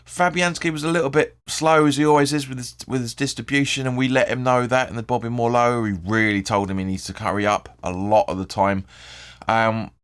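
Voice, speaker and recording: A man with a hoarse, croaky voice hosting his own fan channel, rambling through post-match thoughts, correcting himself and swearing freely. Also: mid-range voice, steady tone, brisk, fairly bright tone. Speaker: football fan channel host. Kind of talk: casual post-match video commentary.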